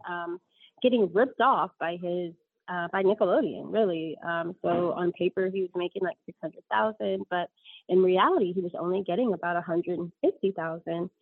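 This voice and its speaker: A woman's voice: fairly low pitch, moderate pace, dry recording, narrow, tinny audio. A woman in her thirties telling her story as an interview guest, rambling with many ums and self-corrections.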